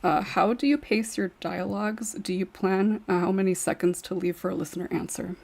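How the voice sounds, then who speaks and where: A woman's voice: mid-range voice, fairly even intonation, brisk, gravelly, a little mellow. A woman moderating a podcast in a casual way as host.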